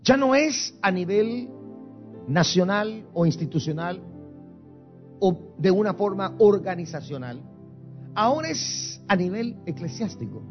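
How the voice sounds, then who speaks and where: A man's voice: high-pitched, big pitch swings, measured pace, muffled recording. A man from Guatemala preaching a sermon as pastor, with emphatic, rising and falling intensity. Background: soft music.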